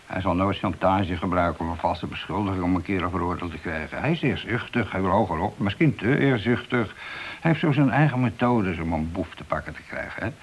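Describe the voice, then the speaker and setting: Evasive policeman husband: deep, hesitant, placating; hissy old radio play. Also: expressive, brisk. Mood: loyal, placating. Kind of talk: Dutch radio drama acting.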